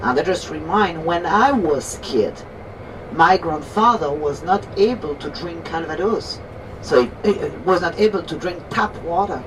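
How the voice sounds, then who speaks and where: French-accented woman guide, lowish voice. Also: animated, a little slow. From Normandy, France. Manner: chatty, explains terms, asks listeners to check they follow. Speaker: tour guide. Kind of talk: guided tour commentary. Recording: distant voice with noisy vehicle background.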